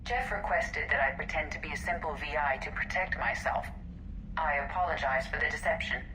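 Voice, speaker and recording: An actress voicing a calm, courteous female ship's computer in a video game, formal and precise. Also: deep voice, fairly quick, background noise, close to an everyday voice. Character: calm, courteous female artificial intelligence of a starship. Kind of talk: video game character dialogue.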